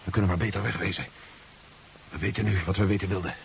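An actor playing a cautious, worried army general in a 1970s radio drama, speaking in a wary, clipped way. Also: male voice, sits low, fast, gravelly. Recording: dull, noisy 1970s radio play recording.

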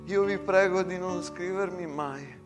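A man's voice: sits high, over music, voice pushed up. A man playing a frightened, simple-hearted soldier who pleads and stammers. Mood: hurt, pleading. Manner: halting, stammering, repeating words.

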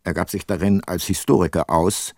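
An ageing private detective narrating his own radio drama. The voice is low and sits very close and dry, sober and measured, with the reflective weight of remorse. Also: fairly even intonation, darkish tone, no room sound. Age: older. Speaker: narrator and lead character.

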